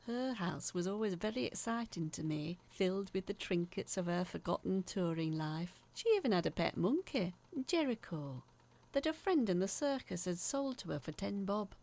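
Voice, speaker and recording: Actress as haunted female letter writer for audio drama: confiding storyteller, creaky edge, very lively intonation. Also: mid-range voice, normal speed. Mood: confiding, wistful. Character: woman letter writer recalling eerie childhood tales, earnest, haunted. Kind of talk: dramatic scripted narration, read as a letter.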